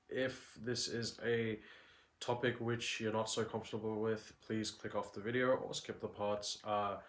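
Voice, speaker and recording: A man hosting a podcast, giving a relaxed, informal notice to viewers before the video. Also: fairly low pitch. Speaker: podcast host. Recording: roomy echo.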